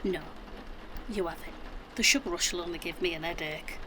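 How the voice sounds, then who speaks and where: A woman's voice: on the low side, brisk, sharp tone, slight background noise. A middle-aged woman playing a defensive, practical mum in an audio drama, with a Yorkshire accent and matter-of-fact delivery. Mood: coaxing. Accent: Yorkshire English. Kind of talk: naturalistic audio drama acting.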